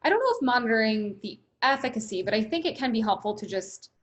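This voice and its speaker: A woman with a loud voice, speaking as a company guest in an interview, upbeat, laughing often and leaning on "right?" and "you know.". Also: a little high, sing-song, fast. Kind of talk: conversational interview.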